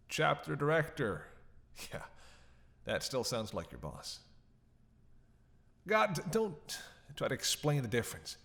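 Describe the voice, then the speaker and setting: A man's voice: mid-range voice, vocal fry, fairly bright tone, heavily acted. A man playing a captive vampire in a dramatic roleplay, witty, flirtatious and menacing, with a sarcastic, teasing drawl. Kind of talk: dramatic roleplay monologue to the listener.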